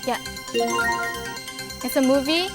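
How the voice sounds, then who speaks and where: A woman contestant giving quick clues in a speed quiz, in halting, simple English. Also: high voice. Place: TV studio. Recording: loud music under the voice.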